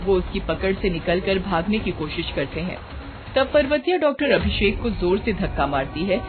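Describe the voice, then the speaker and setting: Casting: storyteller for scripted horror tales, mid-range pitch, thin phone-like audio with music underneath. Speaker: narrator. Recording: tinny phone-like sound with music behind.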